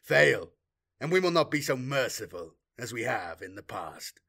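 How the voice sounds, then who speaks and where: A man's voice: medium pitch, big pitch swings, moderate pace, creaky, sharp tone, full character voice. A man voicing a disdainful male warlord who speaks in a cold, dead, mocking voice.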